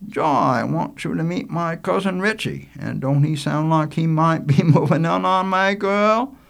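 A man's voice: fairly high pitch, measured pace, vocal fry, fairly bright tone, pitched above the performer's own voice. A man voicing an easygoing, teasing young coastal fellow with colloquial, folksy speech in a storybook reading.

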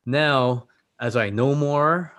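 A man's voice: medium pitch, takes it slowly, a bit bright. A man, whose was Korean, talking as a podcast guest in a reflective, earnest way and often checking in with "right?". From Canada. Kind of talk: casual conversation.

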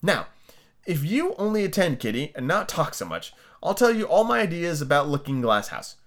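Brief: man putting on a prim little girl lecturing her kitten, high and bouncy, storybook reading. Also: normal speed. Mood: musing. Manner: fussy, lecturing, lively and whimsical. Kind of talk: amateur reading of a children's book.

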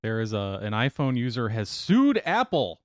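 Lively joking man reading the news. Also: mid-range voice, moderate pace, dry recording, clean studio sound. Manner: joking, reads news items aloud with playful teasing. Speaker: podcast co-host reading news. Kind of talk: casual podcast chat.

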